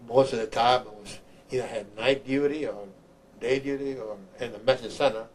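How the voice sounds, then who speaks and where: An older man from Honduras, an army veteran, recounts his memories haltingly, repeating words as he searches for the next one. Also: medium pitch, fairly even intonation, poor audio. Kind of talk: oral history recollection. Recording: clear background noise, room ambience.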